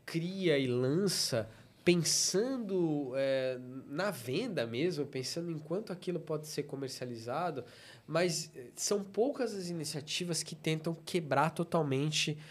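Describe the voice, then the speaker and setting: A man hosting a podcast interview, talking in a relaxed way with lots of fillers and agreement. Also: fairly high pitch, a little slow. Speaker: podcast host.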